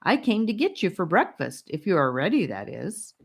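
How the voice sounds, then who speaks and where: A woman's voice: on the low side, very animated, normal speed, creaky, full character voice. A woman voicing a kind, patient male guide in a storybook, friendly and reassuring like a parent.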